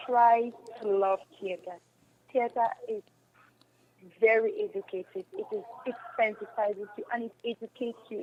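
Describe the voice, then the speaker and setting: A woman giving earnest, emphatic advice as a radio interview guest, encouraging listeners and repeating phrases for stress. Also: fairly high pitch. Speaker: interview guest, stage and radio drama actress. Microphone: remote call. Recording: dull, noisy remote call connection.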